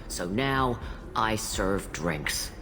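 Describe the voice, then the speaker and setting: Gruff, jaded alien matriarch bartender. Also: female voice, a bass voice, animated, very slow, exaggerated voice. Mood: bitter. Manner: gruff, blunt, wry. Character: jaded, blunt thousand-year-old alien matriarch bartender. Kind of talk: video game character dialogue.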